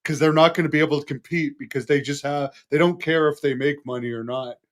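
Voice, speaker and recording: A man's voice: on the high side, fairly even intonation, fast talker, a little hiss or hum. A man hosting an interview, eagerly chatting and filling in facts. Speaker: host. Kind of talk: casual interview chat.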